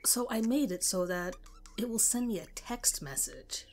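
A woman's voice: mid-range voice, expressive, moderate pace, darkish tone, a little hiss or hum. A woman reading a scripted first-person story as a narrator.

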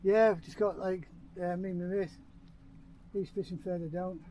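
A man's voice: high-pitched, fairly even intonation, normal speed, mellow, a little hiss or hum, low-quality recording. An older man, chatting cheerfully to himself and to a camera while fishing outdoors on a riverbank. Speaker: angling vlogger. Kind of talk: casual running commentary while fishing. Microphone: action camera mounted on the bank.

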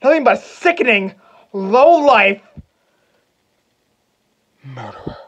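A man acting a swaggering, vengeful wrestler prince, muffled sound. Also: high-pitched. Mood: furious. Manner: swaggering, taunting, dramatic pauses. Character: vengeful young prince as a cocky, raging wrestler. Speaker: actor. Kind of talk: theatrical pro-wrestling promo monologue.

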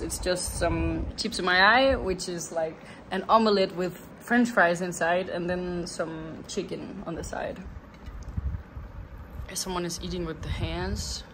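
A woman's voice: fairly low pitch, background noise. A young woman in her twenties, a non-native English speaker, chatting casually to a handheld phone camera and laughing often. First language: not English. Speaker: vlogger and dancer. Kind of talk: casual vlog chat. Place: outdoors, gym and home.

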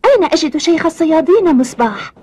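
A polite, very high-pitched boy. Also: lively intonation, quick pace, warm tone. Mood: polite, earnest. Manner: courteous, formal, clearly enunciated. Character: polite, earnest, eager young boy traveler. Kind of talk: Arabic cartoon dubbing.

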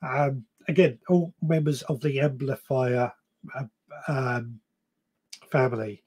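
A man's voice: medium pitch, fairly even intonation, takes it slowly, a little mellow, slightly rough. A man in his thirties hosting a gardening podcast live stream, chatting casually and reading out viewer comments, often stopping to correct himself. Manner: rambling, self-correcting, reads comments aloud. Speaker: podcast host. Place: small shed or room, indoors.

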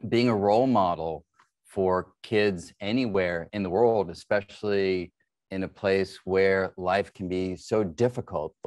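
A middle-aged man hosts an interview over a video call in a low voice with a dry, close sound. He asks long questions and responds attentively. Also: darkish tone, dry recording. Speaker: interview host. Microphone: video call webcam.

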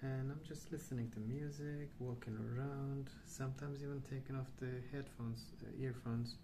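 A man speaking softly, at times near a whisper, in an unhurried, musing ASMR ramble while colouring. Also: medium pitch, fairly even intonation, noisy background. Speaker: host of a colouring ASMR channel. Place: indoors at a wooden table.

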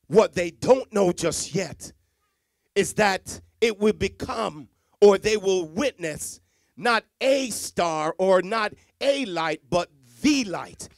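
A middle-aged man from Jamaica preaching a Christmas sermon on a church stage into a handheld mic, swinging from hushed, drawn-out lines to loud, repeated emphasis. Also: sits high, no echo, clean studio sound. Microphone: handheld microphone.

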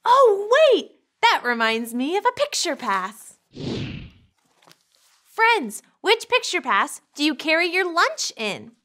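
A young woman hosting a children's church program on a studio set, bubbly and animated as she talks to kids. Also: very high-pitched, takes it slowly, long pauses.

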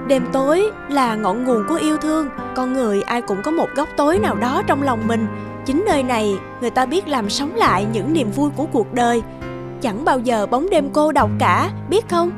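A woman voicing a kind young girl spirit in a cartoon, gentle and reassuring. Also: high voice, lively intonation, normal speed. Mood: gentle, consoling. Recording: clear music behind the voice.

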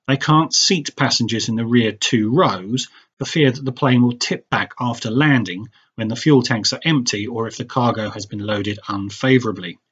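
A man's voice: mid-range voice, fairly bright tone, breathy edge, highs cut off. A middle-aged British man reading a scripted travel vlog voice-over with dry, wry understatement. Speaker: travel vlogger.

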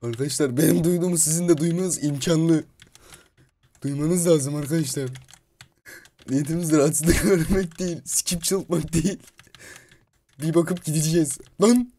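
A man's voice: fairly high pitch, fairly even intonation, normal speed, long pauses, warm tone. A man improvising comic game commentary as a roleplay video host, swearing freely. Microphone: gaming mic at a computer. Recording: constant noise with keyboard and mouse clicks.

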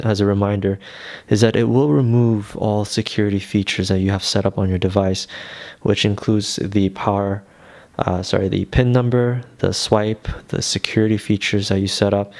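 A man with a fairly low voice presents a tech tutorial one step at a time. His delivery is casual and unhurried, and he explains things in a reassuring way. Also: steady tone, a little mellow.

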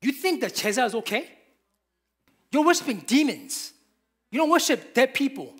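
A man's voice: very high-pitched, big pitch swings, normal speed, fairly bright tone, husky. A man, preaching a sermon from a church pulpit with emphatic, repeated phrases. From Korea. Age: 50s. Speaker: pastor.

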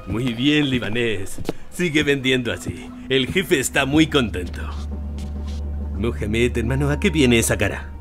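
A man's voice: mid-range voice, lively intonation, measured pace, over music, close to an everyday voice. An actor playing a bossy, overbearing male antiquities trafficker in an audio drama. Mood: smug.

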